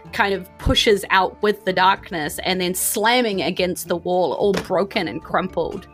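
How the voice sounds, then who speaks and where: A woman with a New Zealand accent narrating as game master, in an informal, descriptive and animated way. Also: mid-range voice. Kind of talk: improvised tabletop game narration.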